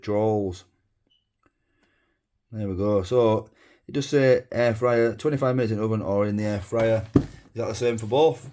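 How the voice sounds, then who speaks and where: A man with a hoarse, sore throat, chatting casually to camera from his home kitchen as a food vlogger, rambling with fillers and asides. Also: medium pitch, warm tone.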